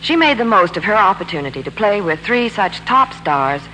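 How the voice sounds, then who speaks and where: A woman's voice: medium pitch, big pitch swings, normal speed, pushed and bright, faint music behind. A woman reporter in scripted 1940s radio banter and advertising, polished and enthusiastic. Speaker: Hollywood reporter and product pitchwoman. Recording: noisy, humming 1940s radio broadcast.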